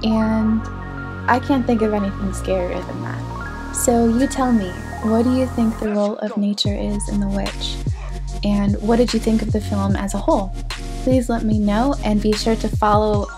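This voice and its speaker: A young woman hosting a film analysis video, chatty with playful asides, slightly hoarse from losing her voice. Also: mid-range voice, fairly even intonation, normal speed, music in the background. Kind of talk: film analysis talk to camera.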